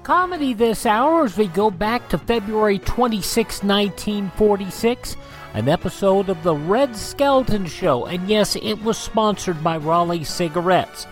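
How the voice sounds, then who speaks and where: Male radio host, slightly high, over music. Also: expressive, measured pace. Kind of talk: radio presenting.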